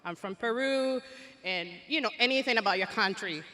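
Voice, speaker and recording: A man from Cuba with a Cuban Spanish accent, giving calm, friendly advice at a seminar. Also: high voice, moderate pace. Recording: long reverb of a big hall.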